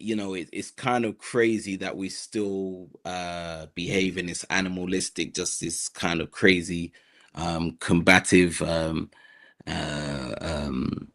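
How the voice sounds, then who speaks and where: A man's voice: low voice, creaky, a bit bright. A man with a London accent, a guest on an interview podcast, talking in a hesitant way with many fillers and restarts. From South London, Nigerian heritage. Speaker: guest, mental health advocate.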